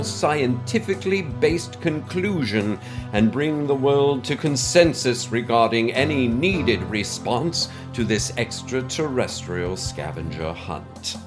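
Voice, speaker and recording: A man playing a pompous, mysterious conspiracy theorist in a formal, verbose, pedantic scripted monologue. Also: mid-range voice. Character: pompous mysterious male conspiracy-theorist scientist on a cassette letter.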